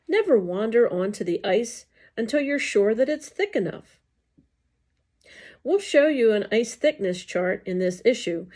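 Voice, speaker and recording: A woman reading scripted educational narration aloud in a deliberate, enunciated way. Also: a little high, a little mellow.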